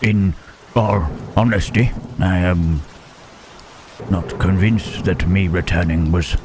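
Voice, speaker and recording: Gentle lonely creature played by a man, very low voice, slow halting delivery, ASMR roleplay, rain and thunder behind. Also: fairly even intonation, a little hiss or hum. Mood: regretful, earnest. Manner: halting, hushed, careful speech with long pauses. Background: rain and thunderstorm ambience.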